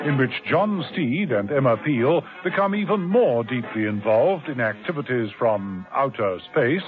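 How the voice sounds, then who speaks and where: A man's voice: mid-range voice, expressive, a little slow, phone-quality sound. A man narrating a 1970s radio drama serial in a dramatic, wry storytelling manner. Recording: thin old radio recording with music.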